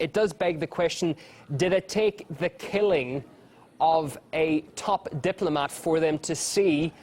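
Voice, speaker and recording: A male news anchor interviewing a guest live, asking pointed questions in a brisk, conversational way. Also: a little high.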